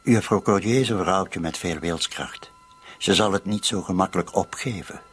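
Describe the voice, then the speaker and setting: An actor playing a shrewd, sceptical police commissioner with a husky voice in a radio drama. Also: male voice, low-pitched, normal speed, loud music behind the voice, natural voice. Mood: measured doubt. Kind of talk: Dutch-language radio drama dialogue.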